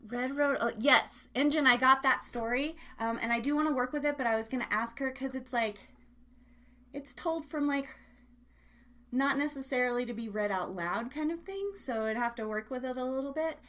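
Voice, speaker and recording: High-voiced woman hosting a chatty live stream. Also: normal speed, a bit bright. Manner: chatty, scattered, addresses listeners directly. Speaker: host of a live internet radio show. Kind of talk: casual live-stream chat. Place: indoors at home.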